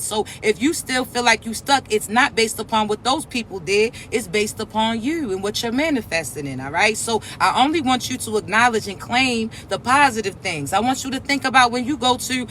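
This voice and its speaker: A man with a raspy voice, giving a casual spiritual message reading to his viewers with soothing, repetitive check-ins. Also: high voice, pushed and bright, a little hiss or hum. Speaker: spiritual reader.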